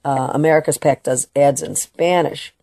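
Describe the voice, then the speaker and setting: A woman's voice: low-pitched, steady tone, normal speed, vocal fry, dark tone, no echo, clean studio sound. A woman hosting a political talk radio show, delivering an emphatic, opinionated monologue with restarts and bits of Spanish. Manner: slips into Spanish phrases now and then.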